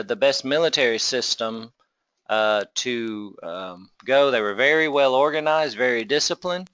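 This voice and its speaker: A man gives an informal classroom lecture in a bright, forceful voice. His tone is clean and smooth, and he explains conversationally, tossing out rhetorical questions as he goes. The recording is somewhat dull and lo-fi. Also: medium pitch, no echo. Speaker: teacher of a Bible survey class.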